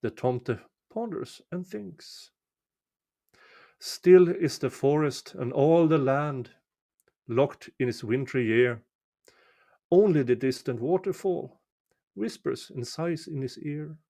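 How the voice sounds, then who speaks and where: A man reading a folk poem aloud as a storyteller, measured and deliberate, pausing between short phrases. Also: fairly high pitch, animated, dark tone. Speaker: amateur reader of a poem. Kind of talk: slow, dramatic storytelling recitation of a winter folk poem.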